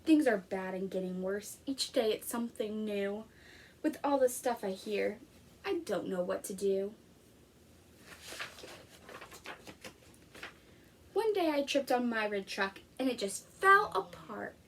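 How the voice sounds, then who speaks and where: A woman's voice: medium pitch, normal speed, slight background noise. A woman reading a storybook expressively as an anxious, literal-minded little boy. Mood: worried, puzzled. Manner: expressive storybook read-aloud.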